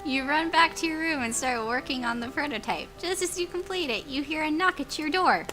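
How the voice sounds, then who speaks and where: An excitable, geeky woman playing a story game, reading it out playfully with self-mocking asides. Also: high voice, a little fast. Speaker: gaming channel player reading out a story game. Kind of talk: playful Let's Play reading with joking asides. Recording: music playing behind the voice.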